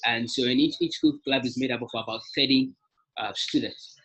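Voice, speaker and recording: A man's voice: medium pitch, fairly even intonation, brisk, fairly bright tone, husky, low-quality recording. A young man from Ghana, president of an alumni association, giving a webinar talk with many fillers and restarts. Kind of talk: webinar presentation.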